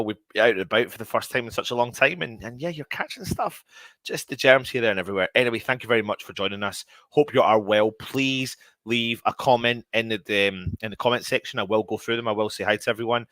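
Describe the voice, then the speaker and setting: A man's voice: medium pitch, fast talker, a bit bright, no echo. A man with a mild sniffly cold hosting a live stream, talking casually and directly to his viewers in an informal, chatty way. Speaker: host of a daily football fan channel show.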